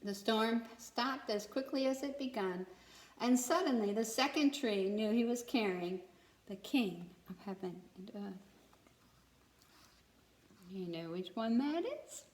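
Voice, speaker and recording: A woman's voice: a little high, animated, darkish tone. A woman reading a children's story aloud expressively, as a storyteller who checks in with young listeners. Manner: pauses to ask young listeners questions. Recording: echoey room.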